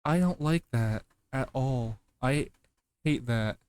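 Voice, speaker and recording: A man reads aloud and reacts in an offhand, casual way. His pace is slow and his pitch sits in the middle. He stumbles over words and often doubles back to repeat them. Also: a little mellow, smooth, no room sound. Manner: halting, stumbling, repeats himself. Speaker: reaction video host.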